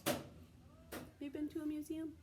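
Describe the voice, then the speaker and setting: A woman's voice: high-pitched, monotone, moderate pace, very noisy. An American woman, a preschool teacher from Texas, reads aloud to young children in simple phrases, stopping to explain and ask questions. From Texas, United States.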